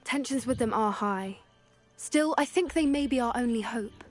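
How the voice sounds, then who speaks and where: A woman's voice: a little high, normal speed, faint music behind, close to an everyday voice. A young woman acting an earnest teenage witch who cares for creatures, in a video game. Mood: determined.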